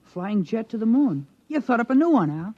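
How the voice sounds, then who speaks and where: A woman's voice: a little high, big pitch swings, moderate pace, dry recording. A woman playing a brash, slangy schoolboy in an old radio drama, breezy and mocking. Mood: breezy teasing. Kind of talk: 1950s radio drama acting. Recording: dull, dry vintage radio recording.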